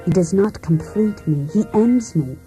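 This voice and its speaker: An actress playing a cold, disillusioned wife set on breaking free, in a deliberate, introspective radio drama monologue. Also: female voice, mid-range voice, lively intonation, dark tone, soft background music, lightly acted. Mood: resolute.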